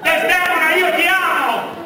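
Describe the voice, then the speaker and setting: Piercing, pompous crusader husband. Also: male voice, extremely high pitch, measured pace, heavily acted. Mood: declamatory, plaintive. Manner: declamatory, pompous, shouting. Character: melodramatic, self-important Othello, a returning crusader husband. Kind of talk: absurdist comic theatre. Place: stage, picked up from a distance. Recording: very echoey theatre, distant mic, faint noise.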